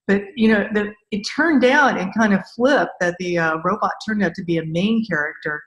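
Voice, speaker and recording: A woman's voice: medium pitch, normal speed, echoey room, highs cut off. A woman hosting a conversational podcast interview, speaking in an effusive, enthusiastic way.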